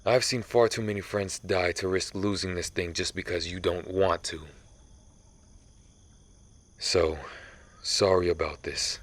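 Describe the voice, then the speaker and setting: A man playing a centuries-old vampire hunter in an ASMR audio roleplay, somber, guilt-ridden and resolute, confessing haltingly. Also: deep voice, steady tone, a bit bright. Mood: grim, apologetic.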